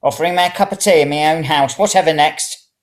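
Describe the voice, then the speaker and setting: A storyteller voicing a cantankerous, bossy old woman who scolds and shouts melodramatically in a story reading. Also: mid-range voice, fast, pitched above the performer's own voice, close to an everyday voice. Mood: sarcastic, indignant. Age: elderly.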